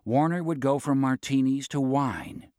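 A man narrating a first-person novel in a wry, measured storytelling manner. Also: medium pitch, darkish tone, no echo, studio quality. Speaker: audiobook narrator.

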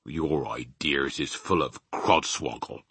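A man voicing a big, gentle but stubborn storybook giant who talks in muddled grammar and invented words. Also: rumbling low, takes it slowly, slightly rough, dry recording, heavily acted. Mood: doubtful. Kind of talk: children's storybook character reading.

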